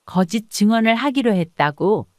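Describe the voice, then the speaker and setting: A woman's voice: medium pitch, lively intonation, moderate pace, a little mellow, dry recording, clean studio sound, close to an everyday voice. A woman playing a hesitant junior kitchen worker in an audiobook drama. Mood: uneasy.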